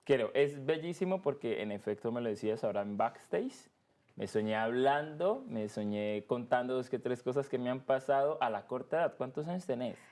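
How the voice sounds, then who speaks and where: A man with a Colombian accent from Cali, hosting a casual podcast interview and slowing down to stress key words. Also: medium pitch. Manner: expressive, slows down to stress words. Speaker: podcast host.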